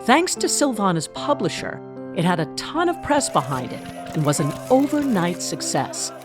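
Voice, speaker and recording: A woman's voice: medium pitch, sing-song, moderate pace, music in the background, close to an everyday voice. A woman playing the narrator of a mock documentary, reading a scripted biography. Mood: dramatic.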